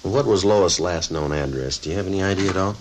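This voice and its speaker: Actor as a deep, deadpan police detective. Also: male voice, fast, background noise, natural voice. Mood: polite, probing. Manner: deadpan, clipped, factual. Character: male police detective, methodical, polite, matter-of-fact narrator. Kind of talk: 1950s police radio drama narration and dialogue. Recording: vintage radio broadcast recording.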